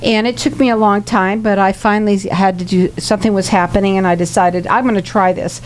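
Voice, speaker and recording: A woman's voice: medium pitch, fairly even intonation, a little fast, low-quality recording. A woman hosting a radio talk show, speaking upbeat and straight to her listeners. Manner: upbeat, direct address to listeners. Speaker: radio host. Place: radio studio.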